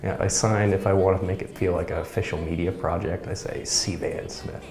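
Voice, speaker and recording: Soft-spoken young man, very low voice. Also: a little fast, roomy sound, soft background music. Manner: soft-spoken, relaxed, self-deprecating. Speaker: student interviewee. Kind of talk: casual interview answers.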